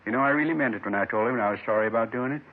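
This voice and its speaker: An actor playing an earnest, resigned American robbery suspect in a 1950s radio drama. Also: male voice, mid-range voice, racing, lightly acted. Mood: remorseful, earnest. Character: remorseful, earnest male drunk turned robbery confessor. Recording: tinny 1950s radio recording.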